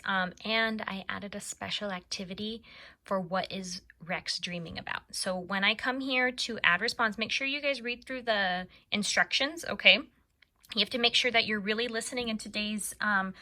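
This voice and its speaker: A woman's voice: medium pitch, sharp tone. A woman teacher giving instructions to young pupils, addressing them directly as she walks through an assignment. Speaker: third grade teacher. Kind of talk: read-aloud and tutorial for children.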